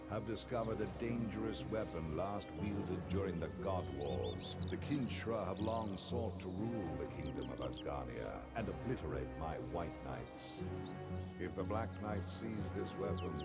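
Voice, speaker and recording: A very deep male voice for a regal god in a fantasy video game. He is grave, formal and commanding, with measured authority and little patience. Loud music plays behind him. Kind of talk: scripted fantasy game acting.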